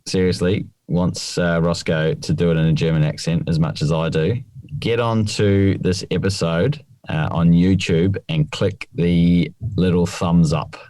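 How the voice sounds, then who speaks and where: A man's voice: deep voice, fairly even intonation, unhurried, dark tone, slight background noise. A man co-hosting a golf podcast, precise about the rules and dryly teasing his co-host. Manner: precise, correcting, dry teasing. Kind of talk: casual podcast chat.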